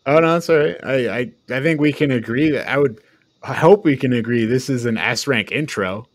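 A man's voice: mid-range voice, fairly quick. A man talking informally as a panelist in a casual film discussion. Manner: informal, prone to chuckling and swearing. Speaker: podcast panelist reviewing films.

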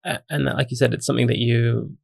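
A man's voice: mid-range voice, fairly even intonation, quick pace, creaky, a little mellow, slightly rough. A man with a Canadian accent interviewing a guest in casual talk, hesitant, with many ums and restarts.

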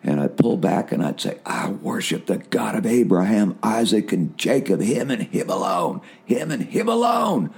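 Male preacher, deep rough voice, mellow tone; earnest, repetitive, asks "right?" often. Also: big pitch swings, normal speed, few pauses. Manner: big voice, jumps up in pitch when stirred. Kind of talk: spiritual testimony.

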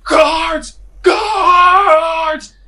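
A man's voice: extremely high pitch, steady tone, very slow, sharp tone, raised voice, voice pushed up, heavily acted. A man voicing an unhinged old male prisoner, rambling and erratic, with a strained voice. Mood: frantic yelling.

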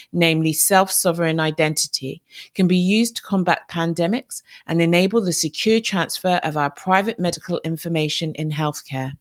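A woman doctor hosting a podcast, reading a formal scripted introduction and asking questions. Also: deep voice. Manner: formal, reads a prepared script.

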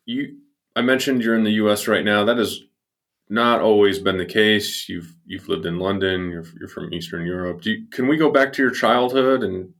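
A man's voice: lowish voice, moderate pace, a bit bright. A man hosting an interview, attentive as he leads with questions. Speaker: interviewer, host. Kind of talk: interview conversation.

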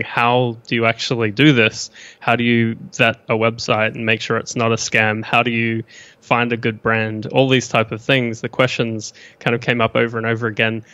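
Australian man, flat and even. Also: mid-range voice, no echo, muffled recording. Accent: Australian with some New Zealand. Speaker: guest, business broker. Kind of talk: casual podcast interview.

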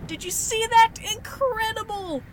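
A mysterious killer who delights in cruelty. Her high voice swoops around as she mocks in a theatrical drawl. It is audio drama, with noticeable rumbling noise behind her. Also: heavily acted. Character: gleefully sadistic, mysterious female killer, playful and taunting.

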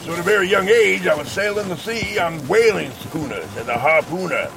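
Gravelly, gruff old hunter. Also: male voice, fairly high pitch, lively intonation, fairly quick, over music. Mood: proud, storytelling. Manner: gruff, folksy, self-assured. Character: gruff, boastful older hunter and former whaling harpooner, male. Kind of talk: western audio drama acting.

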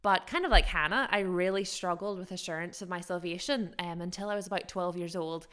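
A woman's voice: lowish voice, a little fast, fairly bright tone, smooth. A young adult woman with a mixed American, Scottish and Northern Irish accent, co-hosting a casual podcast chat in a chatty, self-deprecating way. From Japan-born, raised in America. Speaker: podcast co-host.

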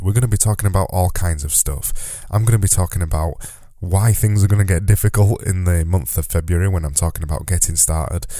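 A man's voice: low-pitched, warm tone, no echo, studio quality. A man hosting his own podcast in a solo monologue, with a slightly nasal voice, talking earnestly and repeating words for emphasis. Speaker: podcast host. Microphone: close podcast mic.

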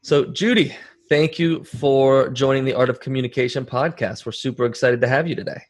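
A man's voice: medium pitch, fairly quick. A man speaking as a podcast host, interviewing a guest in a conversational style.

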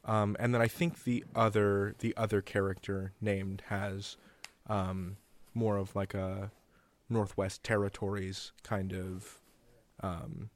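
A man's voice: lowish voice, level tone, takes it slowly. A man hosting a conversational interview. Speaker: interview host.

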